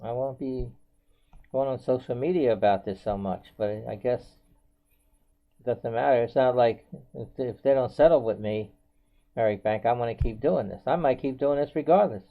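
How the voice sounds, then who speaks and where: A man's voice: mid-range voice, moderate pace. An American man in his seventies talking casually and unscripted to viewers, rambling and circling back with frequent filler phrases. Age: 70s. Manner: rambling, repetitive, often says "you know". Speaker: video blogger. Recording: dull, band-limited home video audio.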